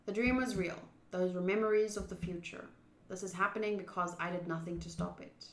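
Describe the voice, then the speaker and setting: A woman's voice: on the low side, steady tone, brisk, reverberant. A woman streaming a visual novel, informal and blunt, switching between live commentary and reading the game text aloud.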